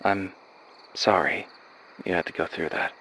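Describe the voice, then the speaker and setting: A man playing a sympathetic, tentative military operative in an animated horror series, with a gentle, hesitant way of talking. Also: sits low, measured pace, a little hiss or hum. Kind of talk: analog horror animation acting.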